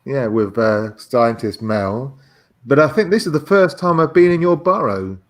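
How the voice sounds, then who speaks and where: A man as a guest in a casual chat, explaining haltingly and stammering as he searches for words. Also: medium pitch, lively intonation.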